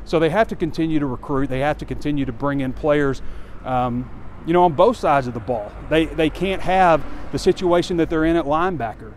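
Man in his forties, walk-and-talk phone recording, slightly high voice, faint street noise, candid and rambling. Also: brisk, hardly any pauses. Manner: candid, conversational, rambling with fillers. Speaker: sports site publisher. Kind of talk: casual walking commentary. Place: outdoors on a campus street near a stadium. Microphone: phone camera held close while walking.

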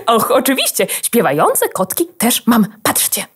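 A woman's voice: on the high side, wildly swooping pitch, unhurried, husky, exaggerated voice. A woman as a sweet, lilting little byte. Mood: cutesy, coaxing. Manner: cutesy, coaxing. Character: sweet, cutesy little byte, sly and playful. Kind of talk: children's audio drama acting.

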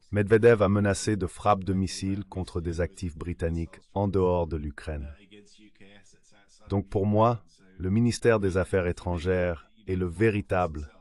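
Very deep male voice-over. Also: a little mellow. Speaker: translated voice of an interview guest. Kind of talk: AI voice-over translation of a political interview.

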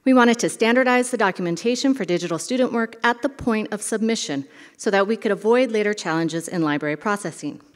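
A woman giving a short conference presentation from a lectern, in a brisk, plainspoken way. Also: medium pitch. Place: large hall, at a lectern. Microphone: podium microphone. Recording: hall reverb.

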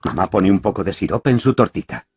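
A man's voice: sits low, quick pace, darkish tone, a little hiss or hum. A man narrating a children's cartoon in a storytelling manner.

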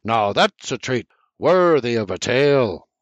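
A man doing a gruff old patriarch. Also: mid-range voice, sing-song, a little slow, dry recording. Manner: gruff, barking. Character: old Irish father, gruff storyteller patriarch. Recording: slightly muffled dry recording.

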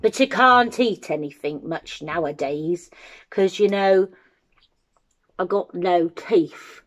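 Woman acting loud old male giant, gruff, hungry, grumbling, rustic booming speech, slow storybook delivery. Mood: pleased, hungry.

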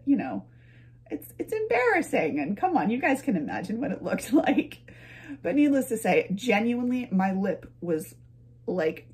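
A woman's voice: medium pitch, darkish tone. A young adult woman telling a personal story to camera in a casual, chatty and playful way, often wandering into asides. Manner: chatty, playful, frequent digressions and chuckles. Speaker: vlogger and storyteller. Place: medium-sized home room, indoors.

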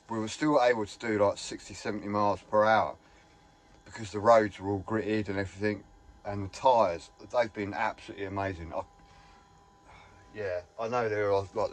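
A man's voice: on the low side, rough recording. Chatty man in a camper van. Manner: rambling, chatty, enthusiastic. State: slightly hoarse. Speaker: vlogger. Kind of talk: casual vlog chat.

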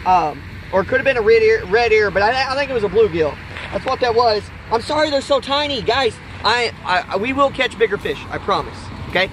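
A man's voice: very high voice, fairly bright tone, low-quality recording. An American man chatting casually to viewers in a folksy way, outdoors on a handheld camera. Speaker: vlog host, father fishing with family. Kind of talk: casual outdoor fishing vlog chat. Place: outdoors beside a park pond. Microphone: handheld phone camera a few feet away. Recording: outdoor ambient noise, handheld camera mic.

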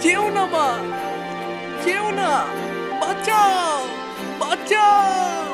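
A woman's voice: very high-pitched, drawn-out words, loud music behind the voice, voice pushed up, heavily acted. A woman voicing an innocent, trusting little boy prince in a cartoon, childlike and plaintive. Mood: desperate pleading. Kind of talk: cartoon fairy-tale voice acting.

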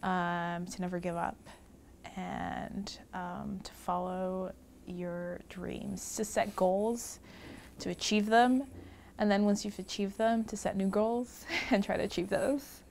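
A young woman from Puerto Rico, a research scholar, talks about her work in a documentary interview, pausing often with ums between phrases. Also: medium pitch, steady tone, gravelly, a little mellow, a little hiss or hum. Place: office lined with bookshelves.

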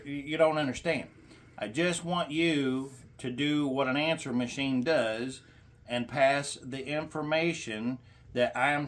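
A middle-aged man making a confrontational complaint as a caller, speaking in a halting, insistent, lecturing way, recorded in a small room. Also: medium pitch. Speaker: caller to a senator's office.

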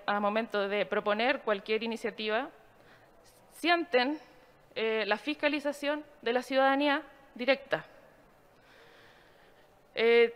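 A woman giving a formal, explanatory slide presentation in a measured way. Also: on the high side, clean tone.

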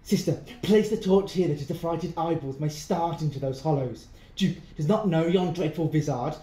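A man plays a vengeful avenger in a Jacobean audio drama, gloating and declamatory. The voice is high for a man and sounds in an echoing room. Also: moderate pace, warm tone, close to an everyday voice. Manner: taunting, declamatory.

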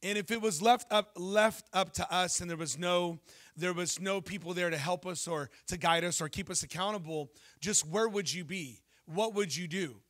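A man in his thirties preaching a sermon on a church stage into a handheld mic, telling lively stories and asking the congregation questions. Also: sits high, normal speed. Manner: animated storytelling preacher, jokes and asks the crowd questions. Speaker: pastor.

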